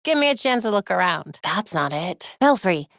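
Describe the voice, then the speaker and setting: A woman's voice: lowish voice, sing-song, normal speed, a bit bright, no room sound, studio quality. A woman playing a young masked vigilante in a video game, tech-savvy and determined, with a wry, quippy delivery. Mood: focused, wry.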